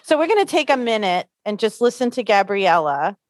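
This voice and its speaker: An easygoing woman librarian co-hosts a podcast in friendly, chatty talk. Her voice sits at an ordinary middle pitch. She is recorded close on a studio mic with a dry sound. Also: sing-song, a little fast, dry recording. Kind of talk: casual chat. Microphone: studio microphone.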